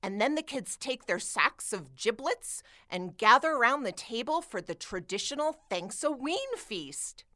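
Woman playing a sharp, brash, scornful kid. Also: fairly high pitch, very animated, measured pace, hardly any pauses, heavily acted. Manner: blunt, mocking. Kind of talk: comic radio play acting.

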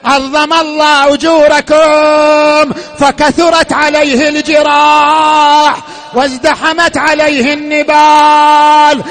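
Male lament reciter, strained high register, unhurried, half-chanted and full of sorrow. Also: flat delivery, fairly bright tone, faint music behind. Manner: emotional, wailing, drawn-out and repetitive delivery. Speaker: religious eulogy reciter. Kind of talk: mournful Shia lament sermon, half-chanted.

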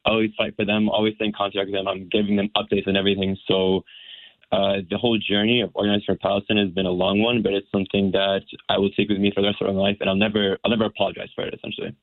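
Homem jovem de voz grave e rouca. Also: male voice, on the low side, little pitch movement, dry recording, narrow, tinny audio. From United States. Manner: earnest, halting, many restarts. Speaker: guest. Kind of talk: personal account in interview.

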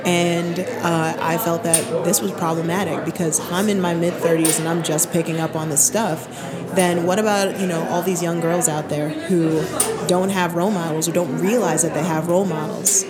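A woman in her thirties, born in Barbados, talking thoughtfully and calmly as a guest in an interview. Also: fairly low pitch, normal speed, a loud crowd. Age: 30s. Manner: relaxed, thoughtful, uses fillers. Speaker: artist guest. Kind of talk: interview answers. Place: expo hall at a live recording.

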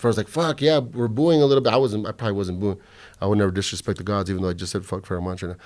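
A man's voice: on the low side, fairly even intonation, rapid-fire, a little mellow. A middle-aged man from the American Southwest hosting a podcast, talking loosely and profanely in casual chat, a little drunk and hungover. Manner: loose, profane, rambling storytelling. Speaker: podcast host.

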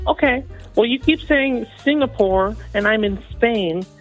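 A man playing a young adult son on a comedy phone call, blunt and deadpan. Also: very high-pitched, unhurried, soft background music. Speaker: caller character.

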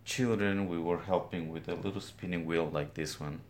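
An older man with a Guatemalan Spanish accent recounts his life as a weaver in an interview, speaking plainly and haltingly in his studio. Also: low-pitched, fairly even intonation, slight background noise. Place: weaving studio, indoors.

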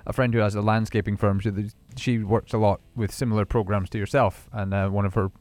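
A man's voice: lowish voice, level tone, fairly quick, darkish tone. A man hosting a casual radio interview, rambling and restarting his questions as he talks. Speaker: radio host.

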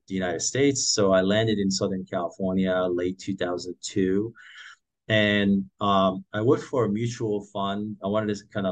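Deep voiced moroccan-born guy, 40s, rambly relaxed storytelling, lots of uhs. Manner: relaxed, many "uh" and "um" fillers, frequent restarts. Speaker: guest entrepreneur. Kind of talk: casual storytelling interview.

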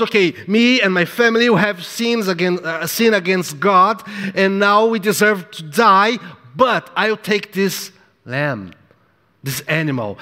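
A man preaching a sermon in accented English, a non-native speaker who acts out voices dramatically. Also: a bit bright. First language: not English. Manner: dramatic, acts out the devil's voice in low tones. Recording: long hall reverb.

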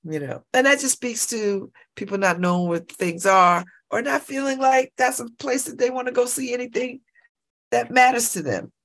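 A woman's voice: on the high side, moderate pace, fairly bright tone. Exuberant, laughing woman in her fifties. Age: 50s. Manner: exuberant, laughing, repeats herself. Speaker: radio show host. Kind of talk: casual morning radio chat. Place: radio studio.

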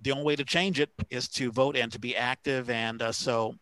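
A man co-hosting a casual talk show, reading out shout-outs and announcements in a halting way as he searches for details. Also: medium pitch, fairly even intonation, smooth, dry recording, poor audio. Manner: halting, pausing to find names and details. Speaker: podcast co-host.

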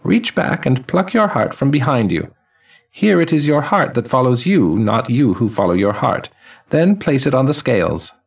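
A man voicing an elderly male judge of the dead, with a chiming voice and gentle, formal speech. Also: mid-range voice, expressive, gravelly, breathy edge, recorded from a distance, voice pushed up. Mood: instructive. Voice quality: chiming, bell-like voice. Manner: gentle, formal, unhurried pronouncements. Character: ancient male judge of the dead, calm and pleased.

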